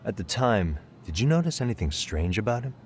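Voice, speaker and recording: An actor playing a calm, streetwise private detective in a video game dub, with a cool, probing, wry delivery. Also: male voice, on the low side, fairly quick, a little hiss or hum. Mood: gentle, questioning.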